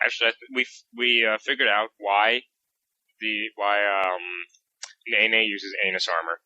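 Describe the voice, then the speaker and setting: A man guesting on a podcast, chatting casually and joking, quick and stumbling at the start of his sentences. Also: lowish voice, steady tone, pushed and bright, no echo, highs cut off.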